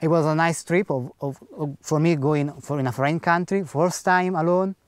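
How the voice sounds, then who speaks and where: A non-native English-speaking man recounts his testimony in earnest, plain wording, acting out the people in his story. His voice sits a little high for a man, and the recording is close and dry with no room sound. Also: fairly even intonation, normal speed, no echo. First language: not English. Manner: earnest storyteller who acts out the dialogue, in simple non-native grammar. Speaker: speaker giving a personal testimony. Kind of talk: personal faith testimony storytelling.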